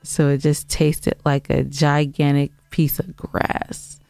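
Low-voiced, smooth American woman podcaster. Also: level tone, slow, dark tone, dry recording, soft background music. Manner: emphatic, argumentative, dramatizing complaints. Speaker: podcast co-host. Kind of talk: casual, bantering podcast chat.

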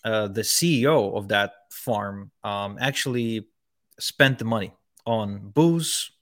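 A man's voice: lowish voice, slow, fairly bright tone. Man from Ukraine telling his story. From Ukraine, later Israel. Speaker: podcast guest, online marketing entrepreneur. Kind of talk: conversational personal storytelling.

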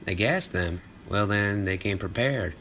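A man's voice: lowish voice, measured pace, a bit bright, slight background noise. A man playing a curt, bossy armed team leader in an audio drama, impatient and commanding. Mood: matter-of-fact.

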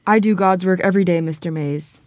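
An actress plays a proud, sturdy Southern church woman in her fifties. Her voice sits a little low, recorded close and dry. She teaches in a firm, motherly, matter-of-fact way, in a drama table read. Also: fairly quick, darkish tone, no room sound, lightly acted. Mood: firm, reassuring.

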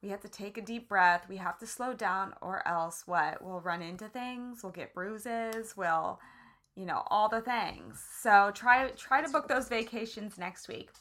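A woman's voice: mid-range voice, unhurried, fairly bright tone. A woman hosting a podcast, bubbly and chatty, with many "like"s and "you know"s. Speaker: podcast host. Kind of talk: casual interview chat.

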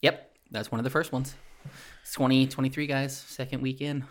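A man in his thirties hosting a podcast, chatting casually with friends and jumping in quickly. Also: mid-range voice. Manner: animated, quick to jump in. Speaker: podcast host. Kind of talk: casual chat among friends.

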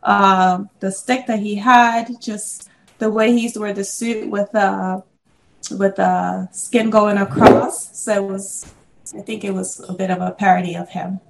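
Middle-aged woman from the congo, bit of vocal fry, chatty and laughing on an interview show. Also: medium pitch, a little slow, a little hiss or hum, poor audio. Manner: animated storyteller, laughs often. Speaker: interview guest, business owner. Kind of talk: casual interview conversation.

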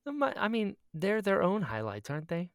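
A man's voice: sits high, wildly swooping pitch, moderate pace, a bit bright, smooth, dry recording. A man chats casually on a podcast in a voice that swings up and down a lot. He is joking and self-deprecating, and laughs readily. The recording is dry, with hardly any room sound. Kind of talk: casual chatty banter.

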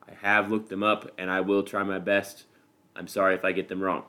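A man's voice: deep voice, flat delivery, brisk, sharp tone. A man with a Midwestern American accent hosting a podcast solo, explaining things casually in an informal, self-deprecating, dryly humorous manner. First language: English. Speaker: podcast host.

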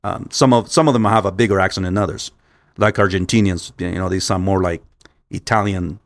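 A man's voice: low-pitched, fairly even intonation, brisk, dry recording, clean studio sound. A man, a Spanish speaker, telling a casual story to viewers in a rambling, repetitive, stop-start way peppered with swearing. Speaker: vlogger.

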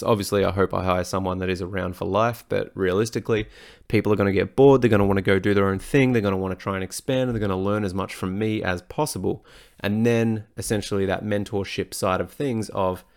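A hoarse man, talking frankly and swearing casually as he hosts his solo podcast. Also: lowish voice. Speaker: personal trainer and podcast host.

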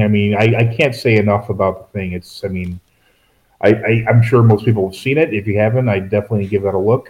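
A man's voice: on the low side, darkish tone, low-quality recording. A man co-hosting a casual chat about movies, rambling and correcting himself with dry asides. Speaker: podcast co-host.

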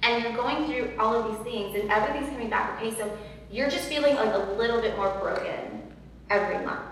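A woman with a loud voice, telling her personal story earnestly as a guest in an interview, with many fillers and repeats. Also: a little high, brisk. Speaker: podcast guest. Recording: echoey hall from far off, faint noise.

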